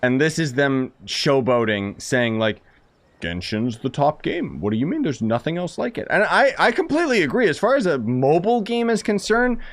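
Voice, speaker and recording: A man's voice: a little high, sing-song, normal speed. A man giving casual live game commentary as a streamer, wry, sarcastic and rambling. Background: game music.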